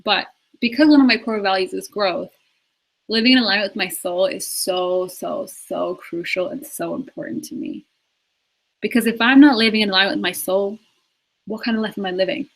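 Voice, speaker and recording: A woman's voice: medium pitch, moderate pace, poor audio. A woman in her twenties, a life coach, talking earnestly in a live Q&A and repeating words for emphasis. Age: 20s. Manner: earnest, repetitive, emphatic, with laughs and restarts. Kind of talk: live Q&A talk on spirituality.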